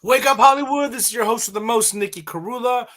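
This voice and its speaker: A woman's voice: on the high side, quick pace, fairly bright tone. A woman hosting a talk radio show, chatting casually and eagerly as she interviews a guest.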